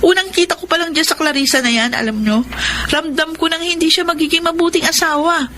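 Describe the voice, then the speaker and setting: A woman's voice: extremely high pitch, brisk, pushed and bright, slight background noise. An actress playing an indignant, worried grown child pleading with a father, insistent, in a Tagalog radio drama.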